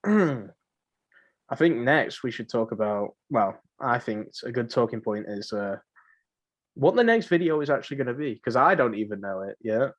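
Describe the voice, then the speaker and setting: A man hosting a casual podcast chat, somewhat hesitant and often repeating his phrases. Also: mid-range voice. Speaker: podcast host.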